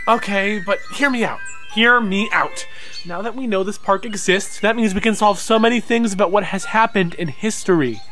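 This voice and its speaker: A man's voice: high-pitched, moderate pace, sharp tone, faint music behind. Brash young alien fanatic played by a man. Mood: excited. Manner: brash, argumentative. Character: cocky, excitable young male alien fanatic. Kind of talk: audio drama acting.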